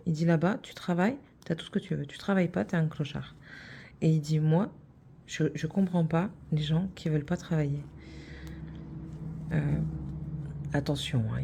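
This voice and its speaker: A woman's voice: deep voice, creaky, mellow, slight background noise. A middle-aged woman from France, hosting a craft channel and chatting casually, rambling with many fillers and restarts.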